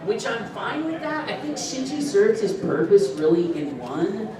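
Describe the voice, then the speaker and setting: A man's voice: high voice, a little slow, crowd noise. Echoey distant male panel voice. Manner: animated, laughing storyteller. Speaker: voice actor at convention panel. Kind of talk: casual panel talk. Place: convention panel room. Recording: echoey room from far off.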